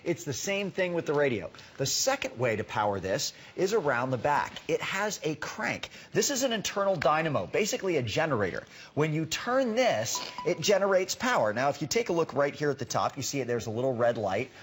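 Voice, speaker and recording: Animated man pitching a product, emphatic. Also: fairly quick, a little hiss or hum. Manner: emphatic, repeats words, conversational explaining. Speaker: product expert presenting on TV shopping. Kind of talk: live home shopping product demonstration pitch.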